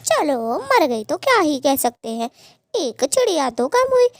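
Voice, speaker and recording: A spiteful cartoon bird wife played by a woman with a very high, swooping voice. She is quick-tempered and scheming, scolding with dramatic flair in a close, dry recording. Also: sing-song, no echo. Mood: sly, dismissive. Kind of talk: Hindi cartoon story dialogue.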